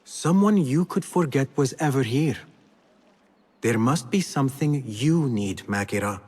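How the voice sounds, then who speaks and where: An actor voicing a young male assassin in a video game, righteous yet sly and smooth-talking. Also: medium pitch, lively intonation, a little slow, darkish tone. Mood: coaxing, sly. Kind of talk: video game cutscene acting. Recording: reverberant hall.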